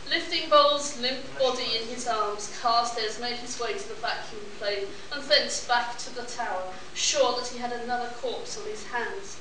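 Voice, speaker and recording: A woman's voice: on the high side, normal speed, hardly any pauses. A woman reading dramatic story narration for a live radio play. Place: live stage performance at a convention. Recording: very echoey, distant, noisy live recording.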